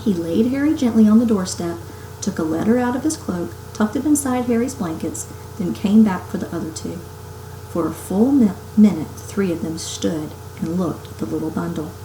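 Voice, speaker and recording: A woman reading a children's novel aloud as an expressive storyteller. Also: on the low side, normal speed. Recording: roomy home recording with faint noise.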